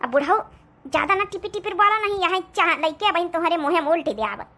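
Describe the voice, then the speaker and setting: An elderly cartoon mother-in-law from the village, acted by a woman, scolds and grumbles in an Awadhi accent. Her voice is extremely high and sharp, with a biting, complaining manner. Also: fast talker, heavily acted. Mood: scolding. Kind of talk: cartoon comedy dialogue.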